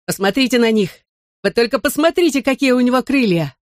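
A narrator acts out an excited storybook boy, pitched well up and calling out to friends. The delivery is breathless and exclamatory, full of wonder, with a dry sound. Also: fairly quick, dry recording, natural voice. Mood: amazed.

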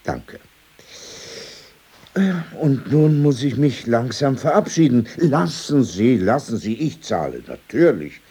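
A man's voice: mid-range voice, very animated, dark tone, slightly rough, heavily acted. An actor playing a courteous, opinionated old man in a radio play, telling anecdotes insistently with many asides. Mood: insistent, wry. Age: older. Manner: polite, insistent, drawn-out storytelling with asides.

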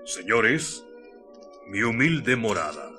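An actor playing a mysterious, courteous Spanish hermit in a radio drama, with an accent from Spain, speaking husky, archaic, formal lines. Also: male voice, fairly low pitch, very animated, takes it slowly, bright, forceful tone, soft background music, heavily acted. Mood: solemn, enigmatic. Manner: archaic, formal, solemn speech.

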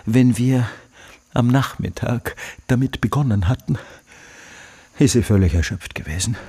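An actor playing an obsessive, tormented scholar in a radio play monologue, speaking emphatically and haltingly, repeating himself. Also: male voice, medium pitch, dark tone, husky, no echo, full character voice. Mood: pedantic, self-absorbed. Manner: emphatic, repetitive, halting delivery with drawn-out words. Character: obsessive, tormented scholar consumed by his life's study.

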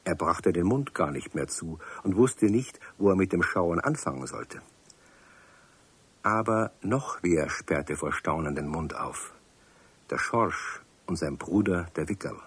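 A man's voice: low voice, lively intonation, unhurried, slightly rough. A man narrating a children's radio play, speaking directly to young listeners. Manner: warm storytelling, addresses young listeners. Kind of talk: scripted storybook narration.

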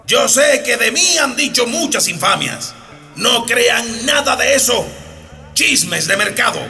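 An actor playing a brazen, corrupt candidate in a radio drama, giving an oily, blustering campaign speech. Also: male voice, extremely high pitch, sing-song, slow pace, gravelly, sharp tone, faint music behind, heavily acted. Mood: boastful, oily.

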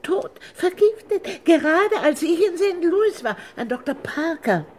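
A woman's voice: very high voice, sing-song, unhurried, a little mellow, heavily acted. An actress playing a persistent, nosy and talkative old woman on a train in a 1960s radio crime drama. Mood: shocked, gossipy. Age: older. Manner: insistent, talkative. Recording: noisy vintage radio play recording.

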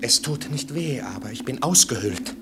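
A man's voice: medium pitch, lively intonation, moderate pace, lightly acted. An actor playing a dying husband in a radio drama, weary, tender and resigned to his fate. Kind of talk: postwar German radio drama acting. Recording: noisy old radio drama recording.

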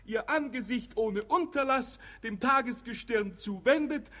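Very high-voiced, pompous young simpleton. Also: male voice, bright, forceful tone, exaggerated voice. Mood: stilted, flowery. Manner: stilted recitation, halting, stumbling over memorised lines. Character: dim, pompous young doctor's son reciting learned speeches. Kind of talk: comic radio play acting.

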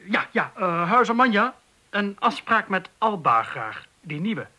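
A man's voice: high voice, very animated, unhurried, pushed and bright, slight background noise, exaggerated voice. An actor in a Dutch radio drama playing a cocky, wisecracking male police detective with a blustering, colloquial manner. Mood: businesslike.

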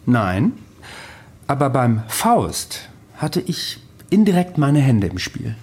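An actor playing a wry, ancient immortal man recounting his past in a measured way, in a radio drama. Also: mid-range voice, sing-song, a little mellow, husky, heavily acted. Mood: wry recollection.

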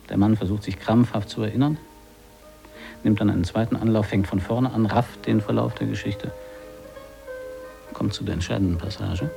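A earnest radio writer with a very gravelly, lowish, mellow voice. He pitches scenes insistently in short telegraphic phrases, as in an intimate radio play. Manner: intense, halting, telegraphic phrasing. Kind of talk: radio play acting, clipped storytelling.

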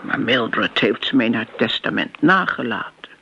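Radio play part: prim older lady, husky and creaky, easily flustered, lo-fi sound. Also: extremely low pitch, lively intonation, moderate pace, bright, forceful tone, exaggerated voice. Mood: bewildered. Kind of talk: radio drama acting. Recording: muffled, lo-fi radio recording.

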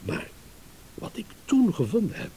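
A shrewd, self-satisfied husband and driver in a radio drama, building his accusation with theatrical pauses. His mellow voice swings widely in pitch, ironic and deliberate, over audible tape hiss. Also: wildly swooping pitch, heavily acted. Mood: mocking. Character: married motorist delivering a shrewd, self-satisfied accusing monologue. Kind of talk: Dutch radio play monologue. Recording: hissy old radio play recording.

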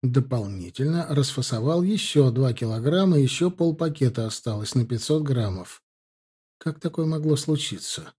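Mellow, husky man narrating a story. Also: mid-range voice. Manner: acts out dialogue with lifted, livelier delivery. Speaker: audiobook narrator. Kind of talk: scripted first-person story narration.

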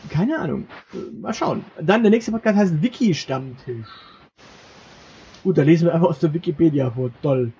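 A man's voice: on the high side, lively intonation, moderate pace, dark tone, husky. A man co-hosting a podcast in casual banter, reading out from a list and joking as he goes. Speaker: podcast co-host. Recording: muffled lo-fi podcast recording with background noise.

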